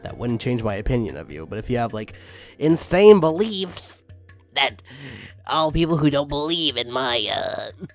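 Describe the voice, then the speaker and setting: A man's voice: a little high, vocal fry, fairly bright tone. A man reading fan fiction casually with comic commentary, exasperated and given to swearing.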